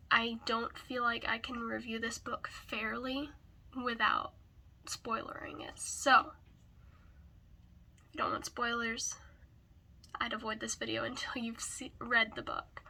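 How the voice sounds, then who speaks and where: Slow, raspy woman with a cold. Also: fairly high pitch, sharp tone. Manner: hesitant, searching for words. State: hoarse from a cold. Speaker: book reviewer. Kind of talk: casual video book review.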